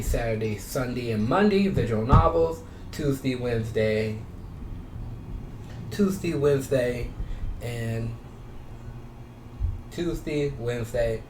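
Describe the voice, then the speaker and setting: A man doing casual gameplay commentary, speaking haltingly and often repeating himself or restarting his sentences. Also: medium pitch, background noise. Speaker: video game streamer. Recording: roomy echo with music behind.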